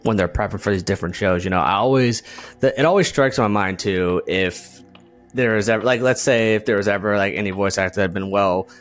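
A man with a fairly low voice talks as a podcast host. His style is casual and conversational, and he feels his way through each thought with halting, reflective phrasing. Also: soft background music.

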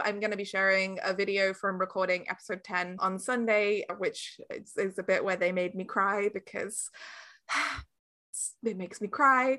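A woman with a very bright, forward voice at a middle pitch. She talks informally as a guest in a casual interview, leaning earnestly and emphatically on her points. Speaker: interview guest, audio drama director and producer.

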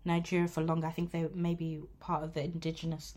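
Relaxed British woman explaining things. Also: sits low, fast talker. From Britain. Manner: explanatory, relaxed, many fillers like "like". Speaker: podcast guest. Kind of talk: casual chat.

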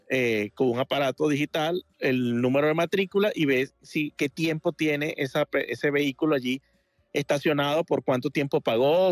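Hombre de voz aguda llamando desde casa. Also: male voice, fairly high pitch, dry recording, clean studio sound. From Venezuela. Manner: rambling, many fillers and restarts. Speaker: caller. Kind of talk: casual call-in chat. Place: home in Miami Beach.

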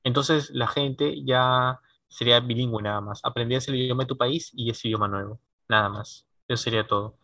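A man in a casual conversation, musing aloud in a lively way and laughing now and then. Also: medium pitch, a little fast, no room sound.

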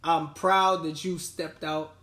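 A man's voice: a little high, flat delivery, slow pace, a bit bright, distant mic. A man, hosting a casual podcast chat in laid-back street slang. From New York. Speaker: podcast host. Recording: echoey room, off mic.

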